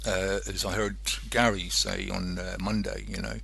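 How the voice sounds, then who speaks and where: A male radio host with a low, creaky voice and a bright edge. He rambles casually and haltingly, often thinking aloud as he goes. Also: flat delivery.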